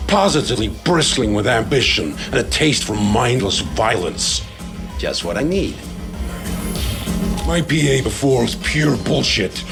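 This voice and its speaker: An actor playing a gruff, sardonic, foul-mouthed district crime boss in a video game cutscene. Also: male voice, mid-range voice. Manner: sardonic, contemptuous, brusque.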